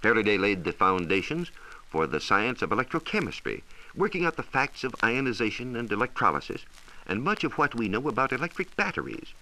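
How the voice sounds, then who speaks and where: An American man presenting a scripted 1940s educational radio science talk with measured, emphatic storytelling. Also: medium pitch, big pitch swings, sharp tone, low-quality recording. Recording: hissy 1940s radio transcription recording.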